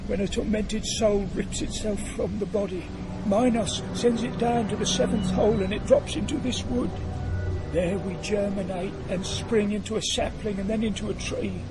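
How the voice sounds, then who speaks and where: A man acting a high-voiced, tormented tree soul. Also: steady tone, moderate pace, natural voice, poor audio. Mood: bitter mourning. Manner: mournful, dramatic declamation. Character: tormented soul of a dead man turned thorn bush. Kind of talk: audio drama acting. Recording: loud music behind the voice. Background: music.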